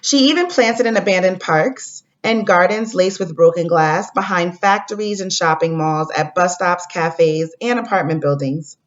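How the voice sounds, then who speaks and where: A woman teacher giving a read-aloud lesson to young pupils, speaking in a deliberate way and addressing the children directly. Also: on the low side. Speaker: elementary school teacher. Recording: dull lo-fi recording.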